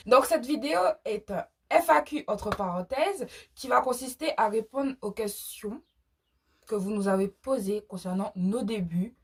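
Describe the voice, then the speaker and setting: A woman's voice: a little high, moderate pace, husky. A young woman from the French Caribbean co-hosting a casual question-and-answer video. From French Caribbean (Martinique). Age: young adult. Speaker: small cosmetics brand founder and video co-host.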